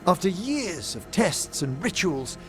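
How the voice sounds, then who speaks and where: An actor playing a refined older necromancer scholar in a video game, gentle and wistful, with formal, eloquent, measured phrasing. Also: male voice, high-pitched, very animated, faint music behind, exaggerated voice, poor audio. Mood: solemn pride. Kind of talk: video game dialogue acting.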